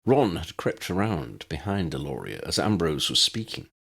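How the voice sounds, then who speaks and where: A man's voice: very deep voice, big pitch swings, normal speed, creaky, a bit bright, breathy edge. A man reading scripted fantasy fiction as an audiobook narrator.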